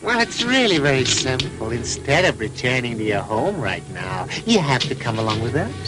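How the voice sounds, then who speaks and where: A sly, falsely kindly animal swindler with an oily, coaxing delivery. The voice swoops theatrically through an old dubbed cartoon, over background music. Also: male voice, medium pitch, very animated, normal speed. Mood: wheedling. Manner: wheedling, theatrical, oily, repeats phrases. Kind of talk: dubbed vintage cartoon acting.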